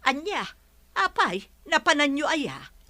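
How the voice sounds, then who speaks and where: Woman acting a sharp, nagging Filipino co-worker. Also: sits high, big pitch swings, slow, full character voice. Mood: scolding. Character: scolding, nagging female household co-worker. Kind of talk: comedy radio drama acting.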